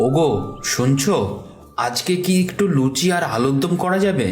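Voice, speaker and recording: A mild village schoolmaster and father acted by a man, with a fairly high male voice. He is anxious, earnest and hesitant in cartoon dialogue, in a roomy echo with music underneath. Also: normal speed, darkish tone, natural voice. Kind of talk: animated cartoon story dialogue. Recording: echoey, with music behind.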